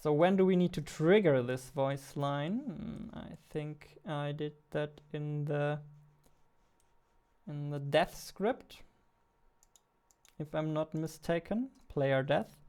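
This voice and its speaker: A man's voice: a little high, slow, a little mellow, smooth. A young man, an indie game developer, thinking aloud while he codes and muttering to himself between tasks. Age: young adult. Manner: muttering to himself, half-distracted. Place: small room at a computer desk.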